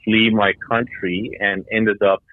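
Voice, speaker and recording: A man's voice: lowish voice, little pitch movement, slow pace, no room sound. A middle-aged man from Iran and Denmark giving explanatory answers as an interview guest. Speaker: guest, startup founder. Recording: tinny phone-like line.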